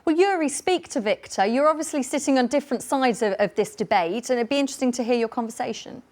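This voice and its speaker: A woman with a British accent hosting a radio phone-in, brisk and inviting. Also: on the high side, darkish tone. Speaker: radio host.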